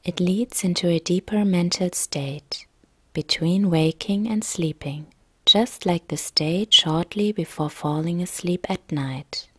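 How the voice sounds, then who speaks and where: A woman yoga nidra instructor narrating a guided relaxation meditation in a calm, soft, gentle, breathy way. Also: fairly low pitch, slow, no room sound.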